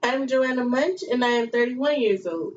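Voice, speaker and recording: A woman's voice: on the high side, moderate pace, natural voice. A young woman playing a formal investigator guest in a scripted amateur interview. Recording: muffled, distant mic with hiss.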